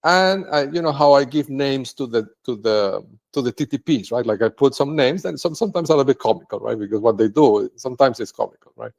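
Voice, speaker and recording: A man's voice: medium pitch, lively intonation, a little fast, creaky, a little mellow. A man whose first language is Spanish, speaking English in an unscripted, rambling monologue to camera, with many restarts and sentences ending in "right?". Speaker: video blogger.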